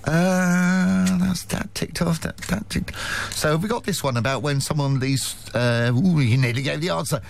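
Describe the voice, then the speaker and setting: A man's voice: on the high side, normal speed, creaky. A male radio presenter running a listener quiz, chatty and self-deprecating, laughing often. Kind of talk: local radio quiz chat.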